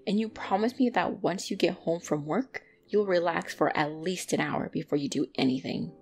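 A woman's voice: on the low side, moderate pace, natural voice. A woman playing a bratty, easily flustered young tsundere catgirl in an ASMR roleplay, speaking indignantly and defensively to the listener. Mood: annoyed, scolding.

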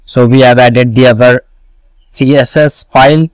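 A man walking through a coding tutorial, slowly repeating each step and answering his own questions. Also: medium pitch, steady tone, clear, resonant tone, no echo, poor audio. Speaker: web development tutor. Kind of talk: screen-recorded coding tutorial.

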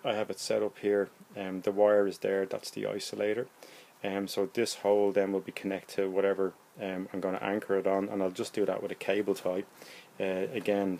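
An Irish man in his forties with a low voice explains a hobby project casually. He speaks plainly and hesitantly, working without a script. He is recorded on a phone in a room at home, with faint noise behind him. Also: creaky, darkish tone. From Ireland. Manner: hesitant, unscripted, plain-spoken. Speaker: hobbyist presenter.

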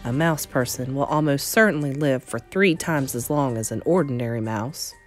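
A tender grandmother in her eighties from a children's storybook, acted out by a woman. She speaks low, in a measured and gentle way, frank about hard things. Soft music sits faintly behind her. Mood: frank, reassuring. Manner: measured, gentle, matter-of-fact.

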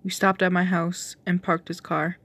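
A woman's voice: fairly low pitch, little pitch movement, moderate pace, a bit bright. A woman narrator giving an amateur reading of a fan fiction story.

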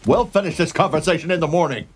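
A man's voice: fairly high pitch, fast, slight background noise, lightly acted. A man playing a sardonic, exasperated TV news boss in an audio drama, scolding the staff. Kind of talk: naturalistic audio drama acting.

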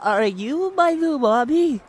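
A man's voice: very high voice, animated, takes it slowly, pitched above the performer's own voice, heavily acted. A man putting on a whiny, babyish voice to play a thief faking a pleading, childish innocence. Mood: fake pleading.

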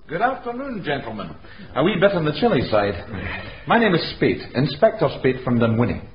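An actor playing a confident Scottish police inspector in a radio drama, blunt and folksy. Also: male voice, fairly high pitch, big pitch swings, brisk. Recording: echoey, dull, hissy radio recording.

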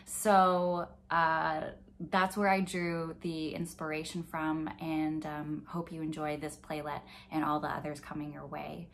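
A woman's voice: sits low, flat delivery, unhurried, a little hiss or hum. A woman in her twenties, a playwright talking casually and somewhat hesitantly to camera. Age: 20s. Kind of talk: casual, reflective talk to camera. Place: home office, indoors.

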